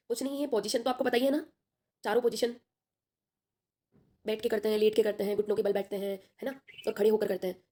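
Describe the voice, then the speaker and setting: A woman's voice: medium pitch, warm tone, breathy edge. A young woman with a sore throat teaching an online class, chatty and reassuring, checking in with her students often.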